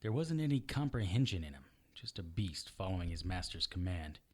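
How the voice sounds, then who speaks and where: A man's voice: deep voice, very animated, fairly quick, darkish tone. A man playing a hard-boiled hero, narrating a violent fight in terse, matter-of-fact first person for an audio drama. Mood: tense, grim.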